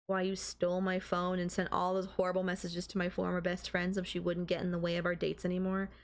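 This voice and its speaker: Coaxing, obsessive girlfriend with a creaky edge acted by a woman. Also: on the low side, completely flat delivery, fast, close to an everyday voice. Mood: knowing, coaxing. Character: doting, obsessively thrilled girlfriend, calmly manipulative young woman. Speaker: voice actor. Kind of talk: intimate ASMR audio roleplay.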